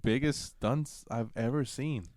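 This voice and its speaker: A man's voice: mid-range voice, measured pace, a little mellow. A man co-hosting a film podcast, giving his opinions in loose, casual banter with some swearing. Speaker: podcast co-host reviewing films.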